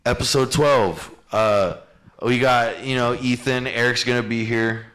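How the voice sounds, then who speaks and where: A man's voice: medium pitch, a little slow, fairly bright tone. A young man hosting a casual podcast chat, joking and laughing a lot, with many "you know"s and "dude"s. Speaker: podcast host.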